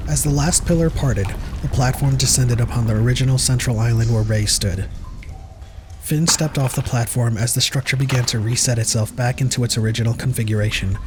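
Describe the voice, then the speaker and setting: A man's voice: mid-range voice, noisy background. A man narrating a fan audio drama in a scripted, dramatic storybook style. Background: prominent music.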